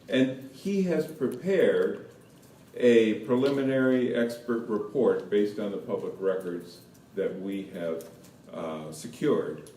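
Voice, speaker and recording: A man's voice: mid-range voice, darkish tone. A man giving a public statement as a lawyer at a press conference. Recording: roomy, distant mic, faint background noise.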